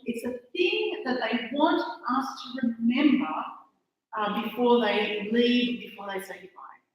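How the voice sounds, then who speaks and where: An Australian woman gives a chatty conference talk, often halting and restarting mid-sentence. Her voice sits a bit higher than most, and it echoes heavily around a large hall from a mic set well back. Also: far from the mic. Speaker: conference speaker.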